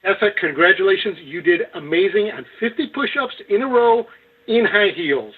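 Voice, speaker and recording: A man's voice: sits high, animated, normal speed, husky. A male podcast host closing the show with joking, teasing banter. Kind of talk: casual wrap-up banter. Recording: tinny phone line.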